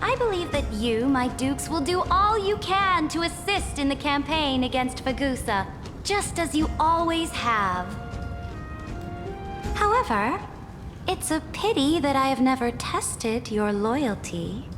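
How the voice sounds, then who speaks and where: A woman playing a regal, commanding ruler in a fantasy game, speaking in formal, measured speeches. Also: sits high, expressive. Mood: stately, ominous. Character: regal, imperious female ruler addressing her dukes. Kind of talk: scripted fantasy game acting. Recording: echoey hall reverb, music behind.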